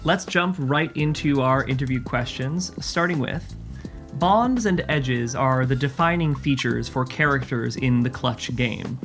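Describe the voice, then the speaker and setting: An articulate woman hosts an interview show as its game master. Her voice sits fairly low for a woman, and her delivery is orderly and prepared, with faint music underneath. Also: a little slow. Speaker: podcast host and game master. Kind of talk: scripted-sounding podcast hosting and interviewing.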